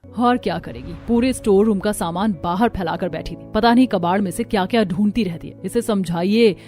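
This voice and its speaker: A woman's voice: medium pitch, fairly quick, darkish tone, lightly acted. Woman voicing a snobbish, sneering elder sister-in-law. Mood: scornful. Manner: sneering, complaining. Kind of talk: Hindi cartoon story dialogue. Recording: music behind the voice.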